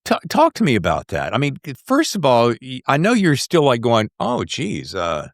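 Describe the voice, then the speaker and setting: A man's voice: medium pitch, wildly swooping pitch, normal speed, gravelly, no room sound, clean studio sound. A man in his sixties hosting a podcast, asking questions in a casual, enthusiastic, dramatizing way. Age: 60s. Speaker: podcast host. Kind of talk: casual, animated interviewing.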